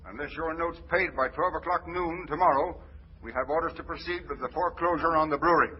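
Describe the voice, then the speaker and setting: An actor playing a cold, formal, unyielding bank creditor speaking through a telephone filter in an old radio comedy. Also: male voice, fairly high pitch, fast talker, lo-fi sound, a little hiss or hum. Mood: cold, firm. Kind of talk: 1940s radio comedy acting.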